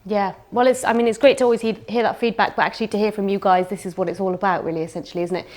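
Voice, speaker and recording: A woman's voice: mid-range voice, steady tone, racing, darkish tone. A woman hosting a live online festival broadcast with an upbeat, bubbly presenter manner. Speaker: festival host.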